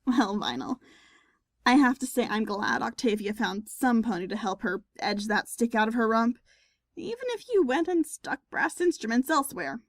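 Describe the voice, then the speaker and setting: A woman's voice: fairly high pitch, animated, normal speed, no echo, studio quality. A woman playing a sardonic but forgiving pony musician in an audio drama, with a wry, mock-formal manner. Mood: wry joking. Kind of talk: audio drama character acting.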